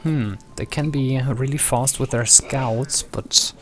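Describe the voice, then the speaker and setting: A man's voice: medium pitch, fairly even intonation, slow pace, a bit bright, a little hiss or hum. A man from Germany casting a strategy game match in an easygoing way, with many fillers and agreeing murmurs. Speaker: caster. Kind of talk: esports play-by-play commentary.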